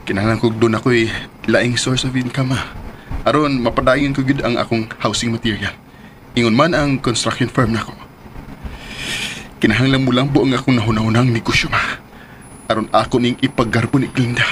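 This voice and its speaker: Radio drama male actor as ambitious, sincere young son, medium pitch, faint noise behind. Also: steady tone, fairly quick, long pauses, natural voice. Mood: eager, determined. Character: earnest, ambitious lovelorn young businessman, devoted son.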